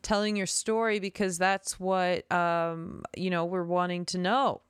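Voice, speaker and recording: A woman's voice: lowish voice, steady tone, a little slow, clear, resonant tone, dry recording. A woman interviewer asking hesitant, rambling questions with many fillers. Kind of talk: casual interview.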